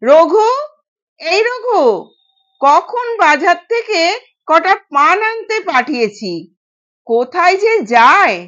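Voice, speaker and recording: A woman voicing a stern older mother-in-law in an audio story, scolding and giving sharp orders. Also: very high voice, lively intonation, takes it slowly, pauses often, muffled recording, heavily acted. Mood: impatient, scolding.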